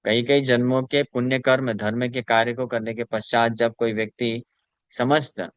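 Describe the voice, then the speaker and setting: A man's voice: medium pitch, flat delivery, moderate pace, no echo. A man, a spiritual teacher, giving a devotional scripture lecture in an explanatory way, mixing in English terms and reciting Sanskrit verses.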